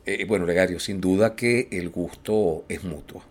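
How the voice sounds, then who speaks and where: A man hosting an interview, speaking in a measured way with frequent hesitations. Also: sits low. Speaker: podcast host. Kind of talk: hosted interview.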